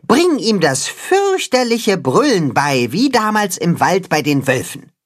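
A storyteller voicing a bossy, clever cat-hen leader who gives brisk orders in a raised, breathy voice. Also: mid-range voice, sing-song, voice pushed up. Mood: commanding. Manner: brisk, commanding.

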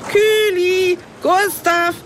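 An actress playing a brisk kindergarten teacher who scolds and lectures. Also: female voice, very high voice, steady tone, slight background noise, heavily acted. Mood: scolding.

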